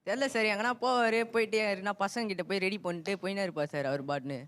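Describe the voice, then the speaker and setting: A man's voice: sits high, steady tone, rapid-fire, very noisy. A young man, explaining things informally as a talk show guest. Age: young adult. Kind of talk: colloquial explaining to host.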